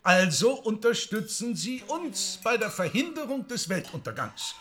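High-pitched, pompous fund-seeker. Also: male voice, big pitch swings, measured pace, crowd noise. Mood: glib, pompous. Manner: declamatory, pompous. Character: glib, self-important man seeking funds amid doom. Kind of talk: stylised satirical radio drama.

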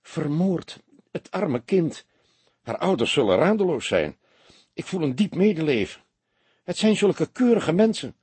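Man playing a theatrical, swooping, wealthy gentleman. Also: on the high side, very animated, a little slow, pauses often, slightly rough, no room sound, pitched above the performer's own voice, heavily acted. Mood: shocked, distraught. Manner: affable, formal, exclamatory. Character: wealthy smooth businessman, theatrically distraught, then affably condescending. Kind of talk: audiobook character voice.

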